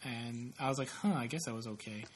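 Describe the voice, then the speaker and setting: A man's voice: mid-range voice, fairly quick, slightly rough. A man in his late twenties with a stuffy, sniffly nose, chatting casually in a rambling, profane, self-mocking way. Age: late 20s. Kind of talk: casual chat.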